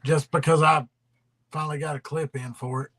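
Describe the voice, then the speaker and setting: A man's voice: fairly high pitch, fairly even intonation, a little fast, sharp tone. Rambling, drawling man hosting a livestream. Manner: rambling, drawling, trails off into mumbles. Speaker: livestream host. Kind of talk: casual livestream chat.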